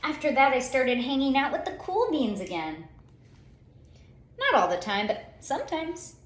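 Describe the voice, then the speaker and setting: A woman reading a children's story aloud in an expressive, theatrical way, with dramatic pauses and drawn-out words. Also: high-pitched, slight background noise. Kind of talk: expressive picture-book storytelling. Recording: roomy, echoey sound.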